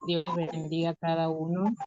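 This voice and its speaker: A woman preaching and reading scripture in a halting way, pausing between short phrases. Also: deep voice, flat delivery, mellow, slight background noise. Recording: dull, muffled lo-fi audio.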